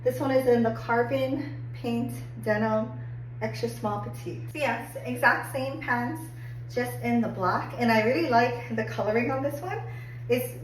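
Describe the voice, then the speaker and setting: A woman getting over a cold and a little hoarse, chatting upbeat through a clothing try-on review with lots of "like"s. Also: a little high. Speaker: fashion haul vlogger. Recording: echoey room, distant mic, faint hum.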